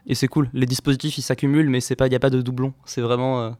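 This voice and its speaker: A man's voice: medium pitch, level tone, fast, darkish tone, no room sound. A young man in his twenties from France, a band musician chatting casually and playfully in an interview, rambling at times.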